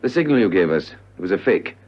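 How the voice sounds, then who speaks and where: An actor playing a suave, cold-blooded villain in a spy radio drama, speaking with smooth, mock-regretful menace. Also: male voice, low voice, very animated, fast. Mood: coolly menacing. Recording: muffled old radio drama recording.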